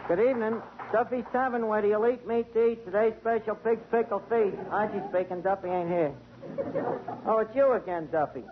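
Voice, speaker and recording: A man's voice: high voice, fairly even intonation, brisk. A man, acting in a 1940s radio comedy as a wisecracking, streetwise tavern manager. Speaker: tavern manager character Archie. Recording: muffled, noisy old radio broadcast.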